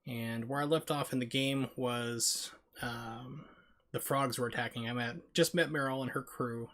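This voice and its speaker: A man's voice: mid-range voice, fairly even intonation, measured pace, fairly bright tone. A man talking casually as the host of a live video game stream.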